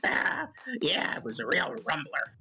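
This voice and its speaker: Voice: mid-range voice, lively intonation, measured pace, vocal fry, bright, forceful tone, exaggerated voice. An actor voicing a sly, crude male goblin who hosts a comedic radio call-in show, smirking and rambling. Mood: boastful storytelling. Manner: smirking, self-justifying, rambling with drawn hesitations. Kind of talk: comedic in-character monologue and banter.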